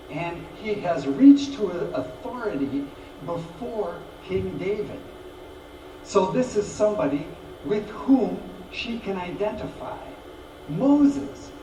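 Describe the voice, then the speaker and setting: Animated preacher in an echoey church. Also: male voice, high voice. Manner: animated storytelling, acts out voices, repeats words. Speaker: pastor. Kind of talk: sermon. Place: church sanctuary. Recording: roomy, distant mic, background noise.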